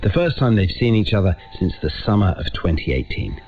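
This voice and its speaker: A man's voice: low voice, very animated, brisk, slight background noise. A man presenting a documentary, moving between scripted narration and casual interview questions. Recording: muffled, lo-fi radio sound.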